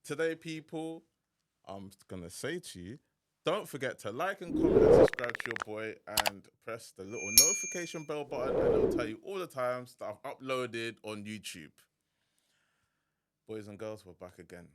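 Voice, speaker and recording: A man hosting a casual podcast, talking in a relaxed, slang-heavy way. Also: medium pitch, normal speed, heavy background noise. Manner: laid-back slang-heavy chat, hype intro. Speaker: podcast host.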